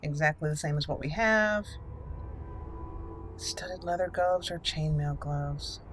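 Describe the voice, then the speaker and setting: A woman hosting a casual video game walkthrough, talking herself through choices in an unhurried way. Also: low-pitched, music in the background. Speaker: gaming channel host.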